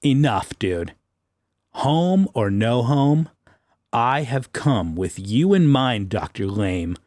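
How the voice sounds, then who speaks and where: A man's voice: medium pitch, very animated, takes it slowly, darkish tone, no room sound, clean studio sound. Man acting a pompous, theatrical, gloating superhero. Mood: smug, taunting. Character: pompous, taunting male superhero sergeant, electric-powered, gloating. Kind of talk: comic superhero audio drama.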